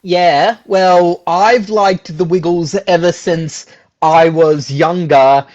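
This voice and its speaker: A man's voice: high-pitched, fairly even intonation, slow pace, a little mellow. A man chatting casually as an interview guest about his own experiences. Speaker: guest, musical theatre performer. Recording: dull, lo-fi sound.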